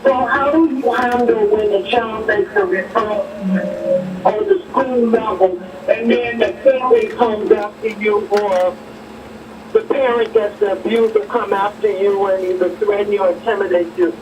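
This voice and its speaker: A man's voice: sits high, normal speed. A man phoning a radio show with a spontaneous question. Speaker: caller. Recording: dull, noisy, distant radio call.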